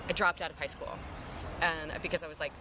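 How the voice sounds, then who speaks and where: An American woman in her thirties with a low, husky voice, talking casually and candidly in an interview. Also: fairly even intonation, fast talker. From Mississippi, United States. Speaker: singer-songwriter being interviewed. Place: outdoor playground in a city park. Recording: outdoor ambient city noise. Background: park and urban outdoor ambience.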